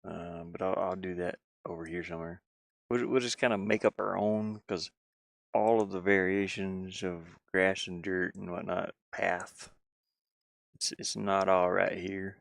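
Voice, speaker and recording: Low, gravelly man thinking aloud. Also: little pitch movement, unhurried, no room sound, clean studio sound. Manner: rambling, musing, hesitant. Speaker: game developer live streamer. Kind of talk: casual live stream commentary, thinking aloud.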